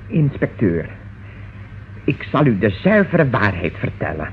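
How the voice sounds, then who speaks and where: A woman's voice: a bass voice, big pitch swings, measured pace, heavily acted. An actress playing a sarcastic, indignant woman in a radio drama, sparring defiantly with a police inspector. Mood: evasive. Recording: dull, noisy old radio recording.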